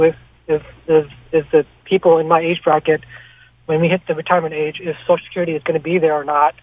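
A man's voice: a little high, no room sound. Hesitant young man on a tinny phone line. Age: 30s. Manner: hesitant, many fillers. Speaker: caller. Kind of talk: phone-in question. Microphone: telephone.

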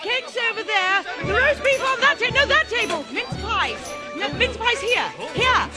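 Jolly old master, very high-pitched. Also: male voice, lively intonation, measured pace, a crowd in the background. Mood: bustling. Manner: fussy, chuckling, exuberant. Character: jolly, bustling, kindly old master throwing a party. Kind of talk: theatrical radio drama acting. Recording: loud music and party noise behind.

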